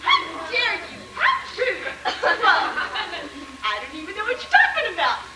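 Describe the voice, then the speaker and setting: A giggly, cooing clown lady, played by a woman, with a very high, sing-song voice that swoops around. She is far from the microphone, with echo and background noise. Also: wildly swooping pitch, normal speed, heavily acted. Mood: coy. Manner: cooing, giggly, mock-offended. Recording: roomy, distant, noisy live recording.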